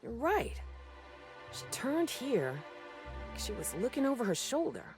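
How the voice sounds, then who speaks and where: A woman's voice: a little high, sing-song, unhurried, over music. A woman playing a casual, wry crew companion in a video game. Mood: wry, impressed. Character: wry, easygoing female crew companion, tracker. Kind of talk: video game character acting.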